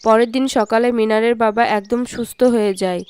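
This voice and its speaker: A woman's voice: a little high, steady tone, brisk, noisy background. A woman giving scripted narration of a folk story.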